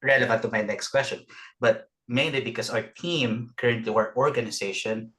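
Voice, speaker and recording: A man's voice: mid-range voice, fairly even intonation, normal speed, fairly bright tone. Hesitant man. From Philippines. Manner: hesitant, many uhs. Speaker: audience member asking a question. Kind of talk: webinar question, casual.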